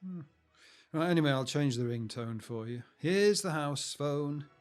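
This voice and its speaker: An older family man in a radio play. His voice is a little high for a man, over faint music. He is patient and practical, with a chatty, gently teasing way of talking. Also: animated, unhurried. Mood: amused, teasing. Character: patient, teasing, helpful older husband and father. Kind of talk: naturalistic audio drama acting.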